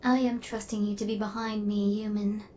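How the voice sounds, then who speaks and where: Woman voicing a deadpan female killer robot, evenly pitched. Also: normal speed, a little hiss or hum, natural voice. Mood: stern, clinical. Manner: formal, clinical, stilted deadpan phrasing. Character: female killer robot drone, literal, stern yet softening. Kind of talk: ASMR roleplay.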